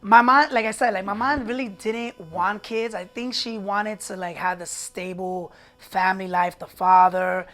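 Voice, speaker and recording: A man's voice: high-pitched, steady tone, normal speed, bright, forceful tone. A man in his thirties from the Bronx with a New York accent, chatting casually as an interview guest and correcting himself mid-sentence. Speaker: guest, singer and actor.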